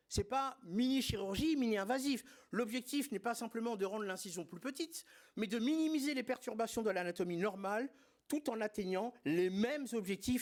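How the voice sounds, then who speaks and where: A man presenting a spine surgery lecture at a congress, didactic and emphatic, with frequent hesitations and filler words. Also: sits high. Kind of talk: conference lecture.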